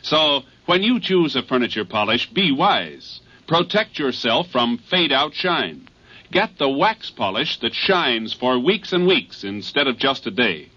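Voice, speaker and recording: Bright, forceful male radio announcer. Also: mid-range voice, very animated, a little slow. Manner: emphatic, persuasive sales delivery. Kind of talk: 1950s radio commercial announcing. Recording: muffled old radio recording.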